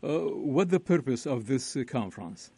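A man hosting a radio interview, asking formal, measured questions. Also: mid-range voice, expressive, gravelly, mellow, breathy edge.

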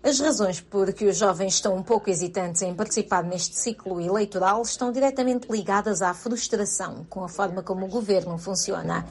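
A woman giving a calm dubbed voice-over in a news report. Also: medium pitch, brisk, few pauses.

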